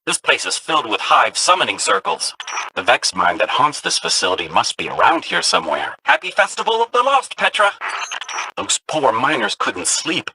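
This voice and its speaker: Brief: an excitable game robot sidekick, bright and gravelly. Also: male voice, mid-range voice, moderate pace, a little hiss or hum, exaggerated voice, rough recording. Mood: excited. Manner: excitable, swooping delivery. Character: chirpy robot companion, upbeat and nerdy.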